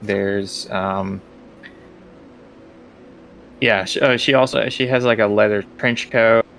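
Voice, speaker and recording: A man's voice: fairly low pitch, steady tone, slow pace, vocal fry, a bit bright, slight background noise. A man working as game master, narrating casually and improvising as he goes, often thinking aloud.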